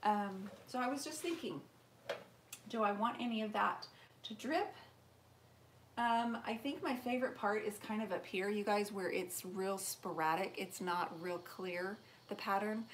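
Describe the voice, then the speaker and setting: A woman's voice: medium pitch, normal speed, a little hiss or hum. Chatty woman rambling through a live stream. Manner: chatty, rambling, answers viewer comments aloud. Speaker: furniture painter hosting a live stream. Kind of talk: casual live-stream tutorial chat. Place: home workshop, indoors. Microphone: camera mic, moves toward and away from it.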